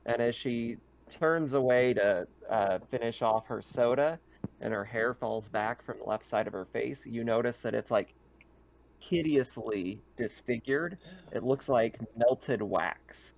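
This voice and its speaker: A man casually narrating a tabletop roleplaying game as game master, describing scenes in a halting, improvised way. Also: mid-range voice, dull audio.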